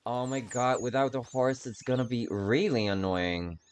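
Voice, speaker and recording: A man giving casual, rambling gameplay commentary, self-deprecating and quick to swear, as he streams a video game. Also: medium pitch, clear, resonant tone.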